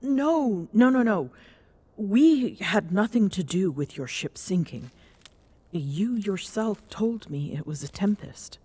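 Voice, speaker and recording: A woman playing a regal, smitten Amazonian queen in an intimate ASMR romance roleplay, speaking formally and softly with coy pauses, often near a whisper. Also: mid-range voice, expressive, husky, no room sound. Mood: explaining, defensive. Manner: formal, haughty diction with coy hesitations and murmured endearments.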